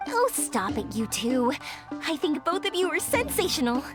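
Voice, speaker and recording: Casting: curious cheerful game girl in young adulthood, high voice, very lively, over music. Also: normal speed. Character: bubbly, curious, good-natured young woman. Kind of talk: anime video game voice acting.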